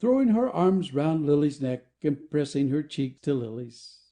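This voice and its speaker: A male narrator reads scripted stage directions for an audio play. His voice is very soft-edged and dark in tone, yet sits a little high for a man. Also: very animated, normal speed.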